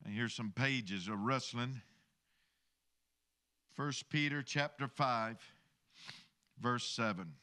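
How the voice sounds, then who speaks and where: A man's voice: medium pitch, takes it slowly, pauses often, pushed and bright. A middle-aged man preaching a sermon as pastor from a church stage into a handheld microphone, calling on the congregation for amens. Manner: preaching with call-and-response asides to the congregation.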